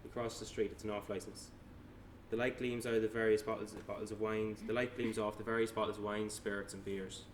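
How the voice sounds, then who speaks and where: A man narrates a screenplay at a table read in a plain, matter-of-fact way. His voice is lowish and very even in pitch. It is picked up from far off in a noisy, echoey room. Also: brisk. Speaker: narrator reading stage directions at a table read. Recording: echoey room, distant mic, noisy background.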